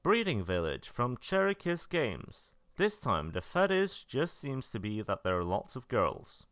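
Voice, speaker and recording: A man's voice: medium pitch, huge pitch swings, a little slow, a bit bright, dry recording, studio quality. A man narrating an informal scripted review, matter-of-fact with casual asides. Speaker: video narrator reviewing releases.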